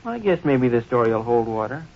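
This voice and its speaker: An actor playing a wry, folksy American schoolboy son in a 1940s radio comedy, talking in homespun slang. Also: male voice, medium pitch, very animated, fairly quick, a little hiss or hum, natural voice. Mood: agreeable. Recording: dull vintage radio recording.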